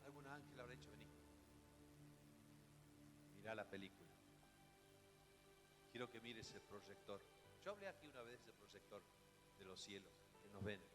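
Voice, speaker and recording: A man's voice: fairly low pitch, animated, normal speed, long pauses. A man with a Rioplatense Spanish accent preaching a sermon, dramatic, with rhetorical questions and emphatic repetition. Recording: echoey hall, music behind the voice.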